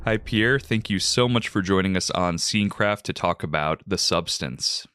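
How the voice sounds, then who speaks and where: A man hosting a film podcast, reviewing a movie and introducing a guest in a measured, articulate way with some "like" fillers. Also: low voice, noisy background. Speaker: podcast host.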